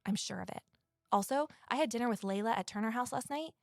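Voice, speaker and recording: An actress playing an earnest woman amateur investigator in an audio drama, talking in a gentle, sincere, conversational way. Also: on the high side, quick pace, creaky, no echo, clean studio sound. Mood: matter-of-fact, confiding. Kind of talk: audio drama dialogue and first-person narration.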